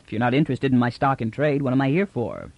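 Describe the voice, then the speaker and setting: A man's voice: mid-range voice, quick pace, creaky, dry recording. A man voicing a restless space trader who talks bluntly and offhand.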